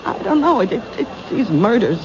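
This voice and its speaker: A woman's voice: on the high side, sing-song, normal speed. An actress playing a frightened middle-aged cleaning woman in a 1970s radio drama, stammering and jumpy. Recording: dull old radio broadcast with hiss.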